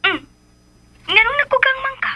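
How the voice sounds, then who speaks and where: A very high, sharply bright female schemer for radio drama. She is possessive and taunting, swinging widely in pitch as she makes her demands. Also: expressive, moderate pace, exaggerated voice. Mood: taunting, threatening. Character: scheming, possessive spurned female lover, Debra.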